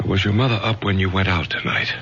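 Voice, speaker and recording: A man's voice: sits low, steady tone, fast talker, fairly bright tone. An actor playing a stern middle-aged father, a college professor who takes charge in firm, clipped lines, in a vintage radio drama. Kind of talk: 1970s radio drama acting. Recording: dull vintage radio recording with faint hum.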